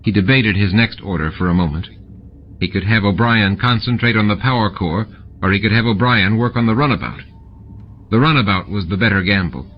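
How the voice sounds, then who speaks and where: Low-voiced male audiobook narrator, dull tape. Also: brisk, slight background noise. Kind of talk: scripted fiction narration. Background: music and sound effects.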